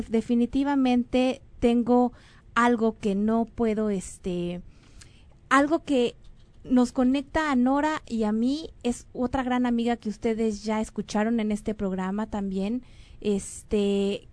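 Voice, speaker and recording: A woman's voice: fairly high pitch, slow pace, a little mellow, dry recording. A Mexican woman hosting a show, talking earnestly and rambling with fillers and self-corrections. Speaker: radio or online show host. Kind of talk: casual spoken show announcements and guest introduction.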